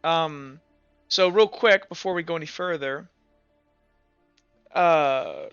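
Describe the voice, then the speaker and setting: A man acting as game master, narrating and improvising in a casual, halting, conversational way. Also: a little high, a bit bright, clear, resonant tone, no echo, muffled recording, faint music behind.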